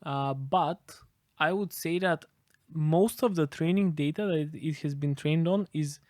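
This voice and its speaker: A man whose first language is Bulgarian answers interview questions in a loose, thinking-aloud way, full of small restarts. His voice sits a little high for a man. The recording is close and dry. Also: measured pace, darkish tone, dry recording. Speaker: guest expert on AI.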